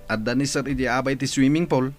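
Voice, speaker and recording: A man's voice: medium pitch, steady tone, quick pace, soft background music, natural voice. A man acting a gossipy, folksy household servant with a Filipino accent in a radio comedy drama. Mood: gossipy, welcoming.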